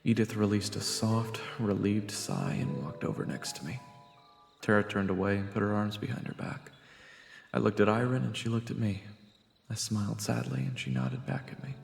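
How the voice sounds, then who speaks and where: An actor playing a weary man recounting his traumatic fantasy adventure in a deliberate, somber way. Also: lowish voice, animated, gravelly. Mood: somber, reflective. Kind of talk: dramatic first-person story narration. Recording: echoey hall reverb, music behind.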